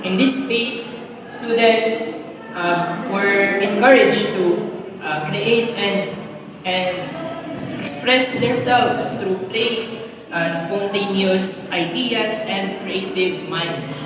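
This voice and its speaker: A woman's voice: medium pitch, very slow. A woman giving a class presentation as a student presenter, explaining point by point. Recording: very echoey hall, distant mic, noisy.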